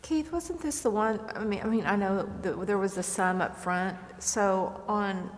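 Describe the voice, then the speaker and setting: A woman's voice: mid-range voice, unhurried. A woman asking informal questions as a council member at a meeting, hesitant and inquiring. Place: council chamber. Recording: reverberant hall with faint noise.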